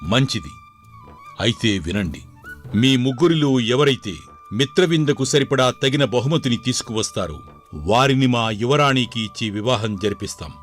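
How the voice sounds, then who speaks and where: A man voicing a caring, authoritative older king in a moral story, with a measured, formal manner. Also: medium pitch, expressive, faint music behind, close to an everyday voice. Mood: formal, commanding. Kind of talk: dramatized Telugu moral story.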